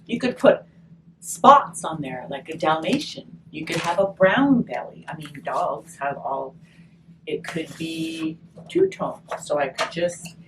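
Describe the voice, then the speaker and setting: Woman doing a craft how-to, chatty n encouraging, slow pace, kinda far from the mic w/ background noise. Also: lowish voice. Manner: chatty, encouraging, thinking aloud as she works. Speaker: craft workshop host. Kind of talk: casual step-by-step craft demonstration. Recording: distant mic, noisy room ambience.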